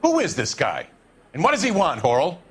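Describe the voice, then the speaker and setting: Irritable, self-important mayor. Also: male voice, on the high side, wildly swooping pitch, brisk, husky, soft background music, exaggerated voice. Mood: indignant. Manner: brusque, indignant. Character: irritable, self-important male city mayor under pressure. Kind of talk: video game dramatic acting.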